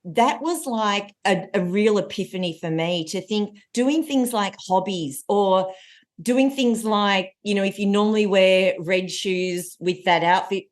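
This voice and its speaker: A woman in her forties with a loud voice, a coach telling stories as a guest in a casual podcast conversation. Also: mid-range voice. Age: 40s. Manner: energetic, animated storytelling with laughs.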